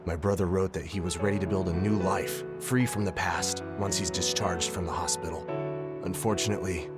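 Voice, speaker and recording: An actor playing a polite, grieving young man in a game cutscene, speaking gently. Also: low-pitched, steady tone, brisk, over loud music, close to an everyday voice. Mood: subdued. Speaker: game character.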